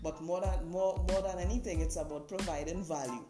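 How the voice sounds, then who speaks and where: A woman's voice: on the low side, fairly even intonation, fairly quick. A Trinidadian woman hosting a podcast, explaining things in an informal, conversational way with frequent checking tags like "right" and "you know". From Trinidad and Tobago. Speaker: podcast host. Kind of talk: informal instructional podcast monologue. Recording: roomy echo, music behind the voice.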